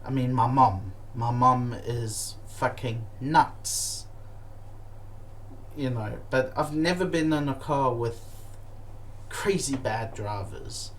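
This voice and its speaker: A man telling a comic travel story at an unhurried pace, his voice rising and falling as he gets worked up. He swears freely and stumbles through fillers and restarts. A faint hiss of noise sits behind him. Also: mid-range voice, rough recording. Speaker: comedic storyteller.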